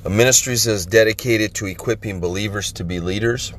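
A middle-aged man from Central California, hoarse from a head cold, giving an informal podcast sermon in a halting way with many pauses and fillers. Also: on the low side, slight background noise. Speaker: podcast minister.

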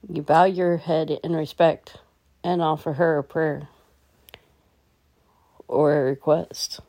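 Woman, low pitch, warm mellow tone, calm, gentle, guided meditation, instructional. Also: slow pace.

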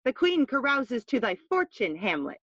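Affectionate queen mother, middle-aged. High, tender, gracious stage delivery, dry muffled recording. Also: big pitch swings, normal speed, fairly bright tone, dry recording. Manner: tender, gracious, switching between English and Spanish. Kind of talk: classical stage verse in audio drama.

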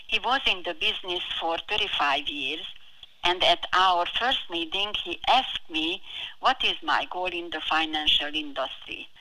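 A middle-aged woman with a heavy foreign accent, answering as a podcast guest in an earnest, deliberate, prepared-sounding way. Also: lowish voice, pushed and bright, a little hiss or hum, poor audio. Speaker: financial advisor, podcast guest.

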